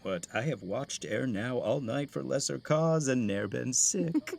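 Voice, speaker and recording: A man's voice: medium pitch, lively intonation, unhurried, vocal fry, a little mellow. A man playing a fussing older father and master of the house in a classical Shakespeare play, commanding and theatrical. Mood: bustling, impatient. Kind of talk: classical Shakespearean stage acting.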